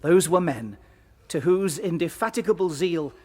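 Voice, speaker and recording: A man playing an earnest, eloquent professor giving a measured, reverent lecture in an audiobook drama. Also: fairly high pitch, animated, warm tone. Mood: reverent, stirring.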